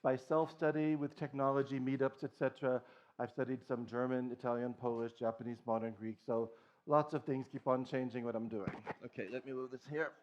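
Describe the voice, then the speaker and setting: A man's voice: medium pitch, little pitch movement, brisk, a little hiss or hum. A middle-aged man from Boston giving an earnest conference talk as a language teacher, lecturing with frequent restarts and "okay"s. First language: English. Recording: dull lo-fi recording.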